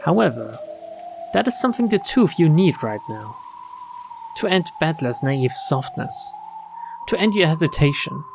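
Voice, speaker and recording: A man's voice: on the high side, sing-song, normal speed, vocal fry, long pauses, background noise. A man streaming a game, reading visual novel narration and dialogue aloud in a dramatic storytelling style. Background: game music.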